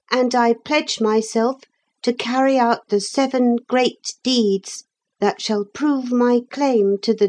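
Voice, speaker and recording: A woman's voice: fairly high pitch, a little mellow, voice pushed up. A woman playing an earnest young boy hero in a storybook, speaking with careful sincerity. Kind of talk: storybook character voice.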